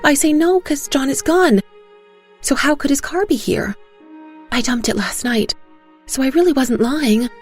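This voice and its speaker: A woman's voice: sits high, lively intonation, a little slow, pauses often, soft background music, voice pushed up. A woman voicing a frightened, anxious woman accomplice in an audio drama, insistent and defensive as she talks. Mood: anxious, rattled. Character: Gloria, panicky frightened woman accomplice on the phone. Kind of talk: audio drama character acting.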